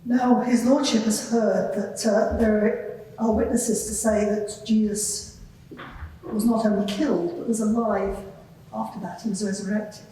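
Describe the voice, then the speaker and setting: Lady acting lawyer in courtroom drama, mellow voice, formal probing questions, really echoey and distant. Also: mid-range voice, fairly even intonation, unhurried, natural voice. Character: measured, probing female advocate questioning a witness. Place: large reverberant courtroom before a live gathering. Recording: echoey hall, distant mic, faint noise.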